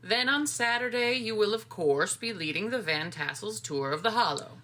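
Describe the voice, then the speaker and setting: Woman acting a brisk, bright-voiced guilt-tripping organiser. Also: mid-range voice, animated, faint music behind. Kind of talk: dramatic comics reading.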